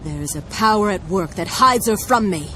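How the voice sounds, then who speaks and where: An actress playing a haughty, vengeful dark elf commander in a video game, imperious, menacing and theatrical. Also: female voice, medium pitch, expressive, moderate pace, slight background noise, lightly acted. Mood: bitter, vengeful. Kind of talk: scripted video game cutscene acting.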